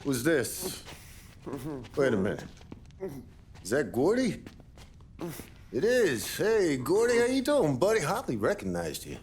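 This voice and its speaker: Lively, clipped gangster. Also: male voice, a little high, a little slow, long pauses, noisy background. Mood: curious. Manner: casual, clipped. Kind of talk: cinematic crime game acting.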